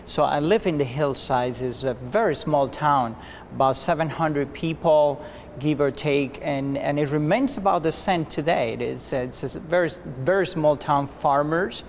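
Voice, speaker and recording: A man from Cuba, a physician guest, answering interview questions in an earnest, measured way with frequent restarts. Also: on the high side, a little hiss or hum.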